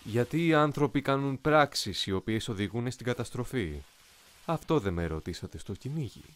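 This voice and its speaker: A man playing a young, eloquent, coolly ambitious soldier in an anime fan dub, speaking in a formal, measured, philosophical way. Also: medium pitch, animated, a bit bright, natural voice. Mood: grave, philosophical. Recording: music behind the voice.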